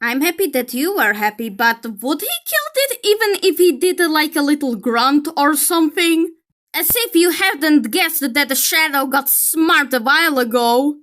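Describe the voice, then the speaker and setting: A woman's voice: high-pitched, animated, normal speed, fairly bright tone. A woman giving opinionated commentary in an exasperated, emphatic manner. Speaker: video creator. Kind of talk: commentary reacting to a film critique.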